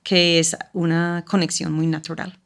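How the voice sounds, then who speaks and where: A woman's voice: low voice, level tone, measured pace, fairly bright tone. A woman speaking as an interview guest and education coordinator, giving practical tips in an explanatory way and often checking in with "¿sí?". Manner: checks in with listener using "¿sí?". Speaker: school language program coordinator.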